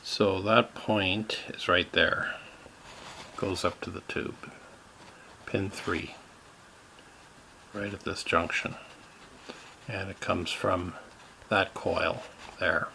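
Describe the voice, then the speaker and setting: A man narrating a step-by-step radio repair in a halting way, with many fillers. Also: low voice, little pitch movement, creaky, bright, forceful tone, a little hiss or hum, low-quality recording.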